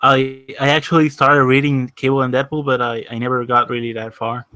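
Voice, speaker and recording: A man's voice: medium pitch, little pitch movement, brisk, pushed and bright, no room sound. Laid-back twenty-two-year-old man, dry sound. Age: 20s. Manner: laid-back, hesitant with fillers. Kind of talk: casual chat.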